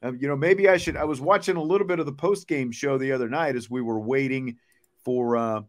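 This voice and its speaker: A man's voice: medium pitch, steady tone, a little fast. A man hosting a sports podcast, chatting casually and thinking aloud as he answers viewer comments.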